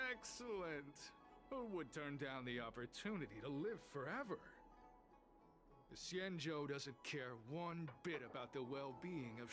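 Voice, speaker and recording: An actor playing a smooth-talking, devout cult recruiter in a video game, speaking in a formal, persuasive and grandiose manner. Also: male voice, medium pitch. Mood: courteous, enticing, then scornful, fervent. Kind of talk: scripted video game dialogue. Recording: game music behind the voice.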